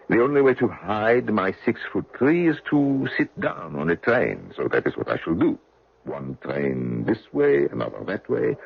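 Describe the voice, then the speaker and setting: A man's voice: deep voice, vocal fry, breathy edge, heavily acted. An actor playing a tall, wry, resolute French general in his sixties, planning an escape in an old-time radio drama. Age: 60s. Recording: dull, noisy old radio recording.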